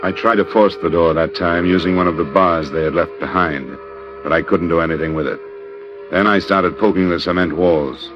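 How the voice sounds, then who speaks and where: An American actor playing a terse, deadpan hard-boiled investigator in an old radio crime drama. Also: male voice, low voice, moderate pace, background noise, natural voice. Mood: grim, tense. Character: hard-boiled male insurance investigator narrating his case. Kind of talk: 1940s-50s radio crime drama narration. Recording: dull old radio recording, music behind.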